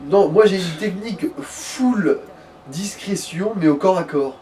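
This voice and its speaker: A man with a slightly high voice banters over a video game in loose, sweary slang. He sounds away from the mic in a very echoey space. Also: animated, unhurried, darkish tone. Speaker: let's play co-host. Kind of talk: casual chat over gameplay, role-play banter. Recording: echoey, distant mic with faint noise.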